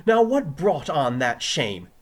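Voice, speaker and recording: A man voicing an exasperated, excitable male doctor coaching speech in a story reading, theatrical and emphatic. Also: mid-range voice, unhurried, close to an everyday voice. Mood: jubilant. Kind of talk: fan fiction reading with character voices.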